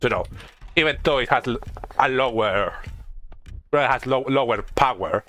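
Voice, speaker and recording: A male streamer talks casually through a video game with a bright, forward voice at an average pitch. He thinks aloud in a halting way, often doubling back on his phrases, with faint music underneath. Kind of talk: casual gameplay commentary.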